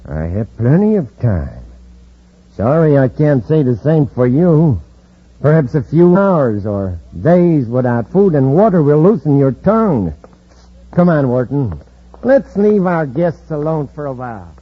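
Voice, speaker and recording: An actor playing a smug, sardonic villain who leads a space gang in a 1950s radio drama. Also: male voice, a little high, very animated, measured pace, husky, exaggerated voice. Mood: smug, menacing. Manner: menacing, sardonic. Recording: muffled, dull old radio recording.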